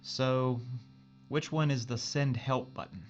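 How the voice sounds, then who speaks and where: A man's voice: medium pitch, fairly even intonation, takes it slowly, muffled recording, a little hiss or hum, lightly acted. A man playing a world-weary, folksy spaceship engineer in a science fiction audio drama, casual, grumbling and full of wisecracks. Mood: animated, joking.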